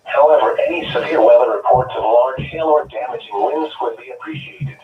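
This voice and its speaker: A man's voice: mid-range voice, brisk. Tinny weather radio male announcer. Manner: formal, impersonal. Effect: weather radio broadcast. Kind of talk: scripted weather forecast reading. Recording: tinny, noisy radio relay, echoey and distant.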